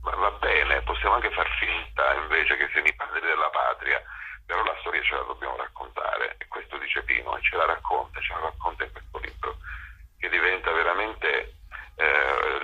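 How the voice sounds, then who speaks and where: A man's voice: rumbling low, normal speed, pushed and bright, breathy edge, dull audio. A man, a theatre author and actor, talking at length as a guest in a radio interview, rambling with many fillers and restarts. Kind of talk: radio interview, discursive talk.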